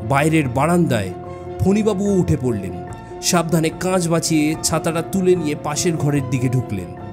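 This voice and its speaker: A man narrating a suspense story in a measured, dramatic way with deliberate pauses. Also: a little high. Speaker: audiobook narrator. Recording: music bed behind the voice. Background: background music.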